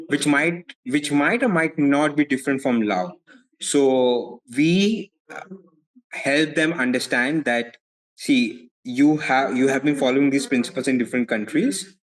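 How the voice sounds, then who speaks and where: A young man from India with an Indian accent, answering interview questions as a legal advisor in a measured way, often repeating words as he thinks. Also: medium pitch, rough recording. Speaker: foreign legal advisor, guest.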